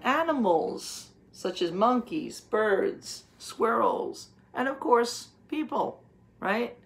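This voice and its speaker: Slow-paced woman reading aloud to children. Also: a little high, expressive, creaky. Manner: didactic, asking simple rhetorical questions. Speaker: read-aloud teacher. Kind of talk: picture-book read-aloud with explanations for young children.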